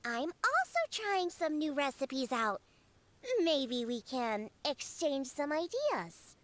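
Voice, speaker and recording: A woman's voice: high voice, big pitch swings, slow pace, full character voice. A woman voicing a cute, proud, stubborn young cat-girl bartender in an anime game, speaking in an emphatic, huffy way. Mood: reluctant.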